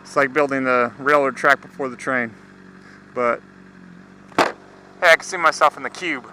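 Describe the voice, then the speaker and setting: A man's voice: medium pitch, normal speed. A young American man chatting casually in a rambling, slangy, laid-back way, as a motorcycle vlogger out riding. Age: young adult. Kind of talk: casual rambling vlog chat. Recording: engine, road and traffic noise. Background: engine and vehicle noise.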